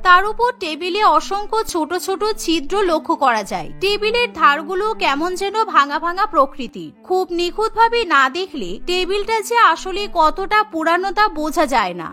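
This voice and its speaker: A woman's voice: very high-pitched, animated, moderate pace, hardly any pauses, bright, forceful tone, faint music behind. A woman narrating a cartoon story in a scripted, dramatic storytelling style.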